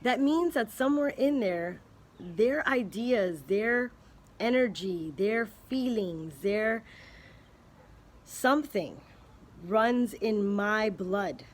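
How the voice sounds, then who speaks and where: A woman talking slowly and unhurriedly to her camera, thinking aloud and often pausing to restart a thought. Her pitch sits in an ordinary middle range, and her tone is earnest and speculative, like a casual chat with a friend. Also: animated. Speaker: vlogger. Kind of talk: casual spoken musing to camera.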